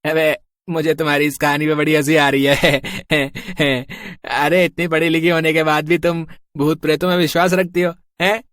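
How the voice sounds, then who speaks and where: A man's voice: fairly high pitch, level tone, normal speed, hardly any pauses, fairly bright tone, no room sound, studio quality, lightly acted. A man playing a cocky male office worker in a horror story. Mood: mocking, amused. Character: mocking, flirtatious male office worker and father. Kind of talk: Hindi horror story dialogue.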